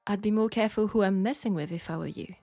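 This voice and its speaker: A woman with a French accent playing a proud, wry young Parisian seamstress in a scripted audio roleplay, speaking in a measured, pointed way. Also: mid-range voice, gravelly, mellow, dry recording, faint music behind. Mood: teasing threat. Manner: measured, pointed, sardonic, with sighs.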